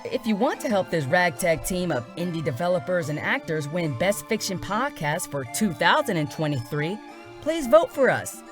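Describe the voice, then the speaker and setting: A woman's voice: fairly low pitch, very animated, normal speed, music in the background. A woman announcer reading scripted credits and notices for a fiction podcast.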